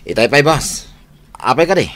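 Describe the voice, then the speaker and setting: A man's voice: medium pitch, very animated, moderate pace, a bit bright, a little hiss or hum. A man acting in an Ilocano radio drama as a loyal, watchful henchman to powerful bosses. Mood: deferential.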